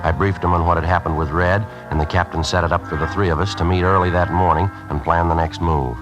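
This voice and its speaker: An actor playing a tough undercover police detective in a 1950s radio drama, clipped and hard-boiled, also narrating. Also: male voice, low voice, steady tone, quick pace, dull audio, lightly acted. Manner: clipped, hard-boiled, bullying when in character. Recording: old radio broadcast with faint music.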